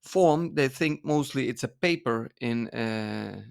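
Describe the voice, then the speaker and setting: A man with a Dutch accent, Dutch being his mother tongue, speaking English haltingly on a podcast and searching for words. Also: mid-range voice, animated, creaky, clean tone, no room sound, clean studio sound. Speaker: podcast guest, dance author. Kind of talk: casual conversation.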